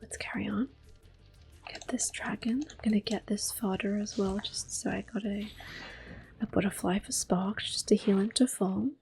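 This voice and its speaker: A woman speaking in a hushed, unhurried, gentle whisper as she gives ASMR game commentary. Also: mid-range voice, fairly even intonation, creaky, faint music behind. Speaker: host of an ASMR gameplay series. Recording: clicking controller noise. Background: controller clicks.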